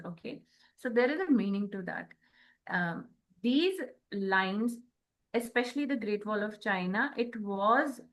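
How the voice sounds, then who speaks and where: A woman with an Indian accent giving an explanatory spiritual talk in a deliberate, halting manner, often checking in with short questions. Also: mid-range voice, a bit bright, rough recording. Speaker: co-host.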